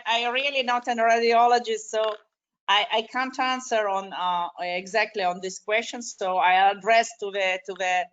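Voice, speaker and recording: A woman with a foreign accent, not a native English speaker, giving spontaneous expert answers haltingly and repeating words for emphasis. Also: medium pitch, a bit bright, dull audio. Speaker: surgeon on a discussion panel. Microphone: online video call.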